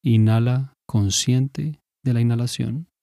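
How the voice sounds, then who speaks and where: A man leading a guided mindfulness meditation in a calm, measured, instructive manner. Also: mid-range voice, creaky, no room sound, clean studio sound.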